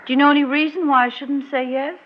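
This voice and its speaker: A woman's voice: high-pitched, fairly even intonation, quick pace, natural voice. An actress playing a proud, guarded woman resisting an old flame, genteel and a little defensive, in classic radio drama style. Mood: testing, hurt. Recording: muffled old radio recording.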